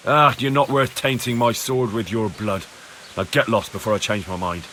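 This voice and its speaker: An actor playing a young, firm and blunt medieval agent of a lord in a video game cutscene, speaking plainly and assertively. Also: male voice, lowish voice, fairly even intonation, moderate pace, natural voice. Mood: stern. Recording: echoey hall reverb, noisy background.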